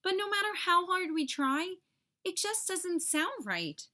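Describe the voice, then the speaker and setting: A woman voicing small, excitable, anxious young alphabet letters from a children's storybook, exclaiming in a childlike way. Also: very high voice, measured pace, pitched above the performer's own voice, exaggerated voice. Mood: frustrated, worried.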